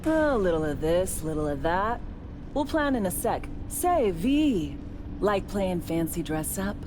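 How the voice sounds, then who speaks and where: A woman's voice: on the low side, big pitch swings, measured pace, noisy background. A woman playing a breezy, cocky spy in a video game, briefing her team in a casual, slangy, playful way. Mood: teasing, breezy. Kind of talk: video game cutscene acting. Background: music.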